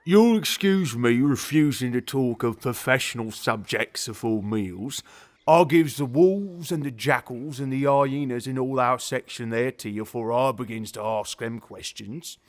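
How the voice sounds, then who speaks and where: An actor playing a grumpy but genial elderly zookeeper, telling things bluntly in rambling colloquial speech for an audio drama. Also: male voice, mid-range voice, a little slow, close to an everyday voice. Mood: gruff, philosophical. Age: older. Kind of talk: audio drama character acting.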